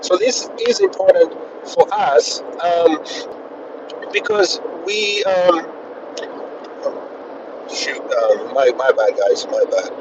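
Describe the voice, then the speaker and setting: A man's voice: animated, slow, long pauses. A man from Kenya hosting a casual live stream while he rides home on the road, talking in a chatty way with lots of fillers. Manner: chatty, filler-heavy, pitch jumping up on phrases. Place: in a car on the road. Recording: dull, distant phone audio with road noise. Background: road and traffic noise.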